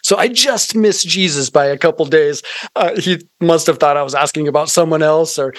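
A man's voice: on the high side, no echo. An American man speaking earnestly and articulately, telling stories in a conversational interview with touches of preaching. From United States (Arizona). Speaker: guest, missionary organization founder.

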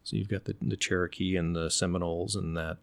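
A middle-aged man from the United States, telling stories in an unhurried, thoughtful way and pausing to find his words. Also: deep voice, fairly even intonation, creaky, dry recording. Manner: unhurried, thoughtful, often pauses to search for words. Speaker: interview guest. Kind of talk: relaxed conversational storytelling.

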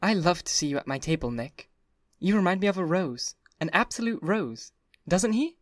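A man voicing a bubbly, wistful young society wife in a high, lilting, breathy voice. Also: lively intonation, brisk, no room sound, studio quality, natural voice. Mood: glowing.

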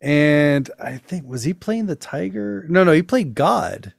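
A man's voice: a little high, expressive, a little slow, vocal fry, no echo. A man hosting a podcast, telling stories and anecdotes in a casual way on a close microphone. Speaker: podcast host and storyteller. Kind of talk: spooky storytelling and casual anecdotes.

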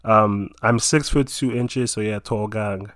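A man's voice: lowish voice, a little slow, no echo, clean studio sound. A young man from Nigeria in his twenties, chatting casually about himself with lots of fillers. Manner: relaxed, rambling with many fillers.

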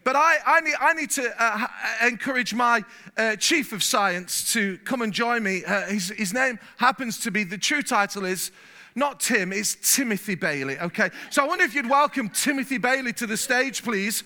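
A man from England preaching a sermon in church, animated, repeating words and getting louder as he warms up. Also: extremely high pitch, moderate pace. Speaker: pastor. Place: church hall.

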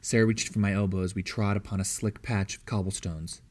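A man's voice: lowish voice, little pitch movement, fairly quick. A man reading a story aloud as scripted narration. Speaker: audiobook reader.

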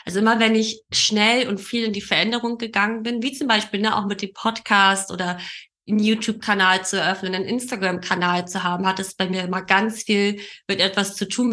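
A woman's voice: medium pitch, pushed and bright. A woman in her thirties from Berlin, Germany, talking casually as a podcast host in a conversational style. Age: 30s.

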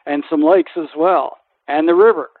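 Higher-voiced male interviewer, dry and band-limited. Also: normal speed, no room sound. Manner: inquisitive, with frequent brief acknowledgements. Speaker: radio interviewer, host. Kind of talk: conversational interview questions. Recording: dry, narrow phone-like sound.

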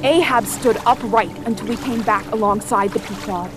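A woman playing a thoughtful sailor who narrates a doomed whaling voyage in measured, literary storytelling. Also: on the high side, over music, close to an everyday voice. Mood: grave. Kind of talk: scripted dramatic narration.

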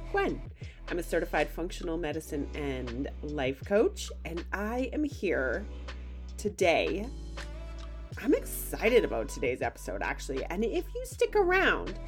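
A woman's voice: mid-range voice, a little mellow. Upbeat woman podcast host with a cold. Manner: upbeat, enthusiastic, conversational. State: has a cold, slightly gravelly. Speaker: podcast host and life coach. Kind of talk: solo podcast monologue.

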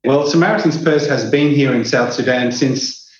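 A man with an Australian accent, a country director for an aid group, answering interview questions in a relaxed way with fillers and restarts. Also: mid-range voice, normal speed. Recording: very echoey, dull, faint noise.

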